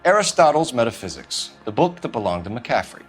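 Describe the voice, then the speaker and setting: An actor playing a stern, dogged young American police detective in a video game, clipped and formal, pressing with accusations. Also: male voice, medium pitch, very animated, brisk, faint music behind, lightly acted. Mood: accusing. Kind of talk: dramatic video game acting.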